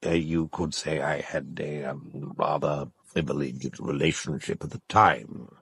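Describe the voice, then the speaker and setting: Very deep, husky, cynical literary gentleman. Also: steady tone, no room sound, full character voice. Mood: candid, reflective. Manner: dry, articulate, knowing, measured phrasing. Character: cynical, urbane male literary critic and former lover. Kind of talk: naturalistic audio drama dialogue.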